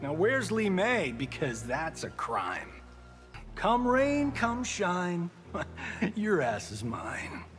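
A flirtatious action-star fighter for a video game. The voice is fairly high and very animated, full of slangy swagger and joking. Music plays underneath. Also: male voice, drawn-out words, exaggerated voice. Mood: cocky flirting. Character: cocky, flirtatious movie-star martial artist, brash wisecracker.

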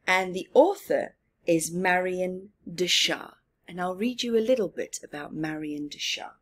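A middle-aged woman reading aloud to children with commentary, in an expressive, emphatic manner. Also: lowish voice, unhurried. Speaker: storyteller for children. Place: small living room, indoors.

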